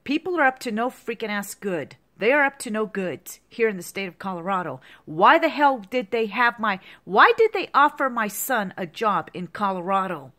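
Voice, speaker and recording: A woman's voice: mid-range voice, sing-song, moderate pace, hardly any pauses, bright, forceful tone. A woman in her fifties in an agitated, repetitive rant to the camera, heavy with profanity. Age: 50s. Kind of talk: angry monologue to camera.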